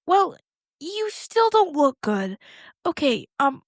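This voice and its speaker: A woman's voice: sits high, sing-song, takes it slowly, no room sound, studio quality, exaggerated voice. A woman playing an anxious, squeamish, kind-hearted girl in an audio drama, rambling and hesitant as she blurts out questions. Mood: puzzled, wondering. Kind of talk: audio fiction acting, naturalistic.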